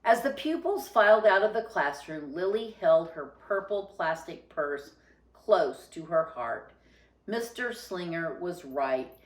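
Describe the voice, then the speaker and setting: Female storybook reader: expressive, unhurried narration, a little low-pitched, with audible room echo.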